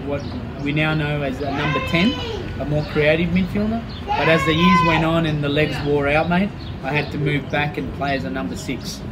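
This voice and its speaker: A Chilean-born man speaks as a football coach. He talks in a loose, friendly, chatty way in a voice pitched a little high for a man. Loud traffic rumbles behind him. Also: steady tone, moderate pace. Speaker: football coach being interviewed. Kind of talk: casual interview answers. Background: passing vehicles.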